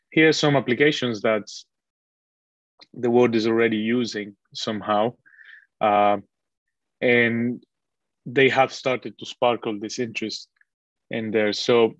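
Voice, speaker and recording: A man who grew up in Colombia, giving a relaxed online guest lecture over a video call with many fillers and restarts. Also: lowish voice, no echo. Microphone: video call microphone. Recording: dull, dry video call audio.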